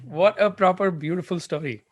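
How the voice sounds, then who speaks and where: A woman hosting a podcast interview. She asks questions in a relaxed way and gives soft, encouraging murmurs while her guest talks. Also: lowish voice, normal speed, a little hiss or hum. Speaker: podcast host. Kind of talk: casual interview.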